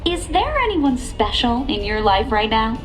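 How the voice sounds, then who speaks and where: An actress playing a bubbly news anchor with a bright broadcast delivery. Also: female voice, fairly high pitch, sing-song, measured pace, soft background music. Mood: chirpy. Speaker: news host.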